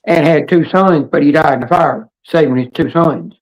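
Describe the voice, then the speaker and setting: A woman with a hoarse, raspy voice hosting a casual livestream chat in a rambling, halting, blunt way. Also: sits low, dull audio. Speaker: livestream host.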